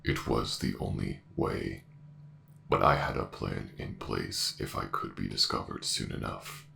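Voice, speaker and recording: A man's voice: extremely low pitch, monotone, creaky, pushed and bright, a little hiss or hum, exaggerated voice. A man playing an ancient, coldly logical ship's AI in a sci-fi audio roleplay, speaking formally and without emotion. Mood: detached, explanatory. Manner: formal, measured, emotionless delivery. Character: ancient warship AI director, cold, logical, ruthless. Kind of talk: scripted sci-fi audio drama acting.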